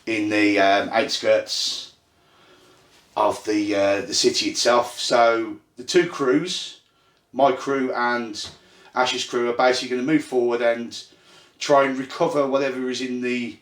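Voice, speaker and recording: A man from Ireland with a Birmingham accent, casually hosting a tabletop game night and explaining the rules in a halting, self-deprecating way with plenty of fillers. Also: mid-range voice, steady tone, fairly bright tone. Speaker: host and game runner. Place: indoor room at a gaming table. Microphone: phone. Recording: echoey room on phone mic.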